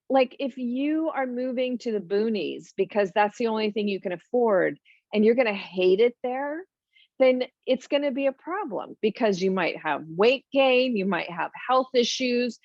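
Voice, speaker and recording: Woman, webinar host, talky, emphatic, mid pitch, slightly muffled recording. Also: no echo. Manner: chatty, emphatic, lists points with frequent ums and asides. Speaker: financial educator hosting a webinar. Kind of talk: informal webinar talk.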